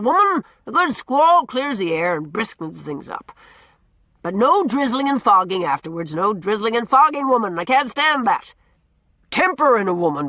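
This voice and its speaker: A woman voicing a blustering, gruff old man who booms out orders and repeats himself as he teases. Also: bright, forceful tone, no room sound, heavily acted. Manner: booming, repeats himself, teasing.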